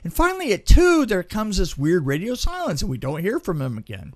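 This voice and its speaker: A man telling anecdotes in a casual, halting, animated way on a podcast, as an experienced film crew storyteller. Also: high-pitched.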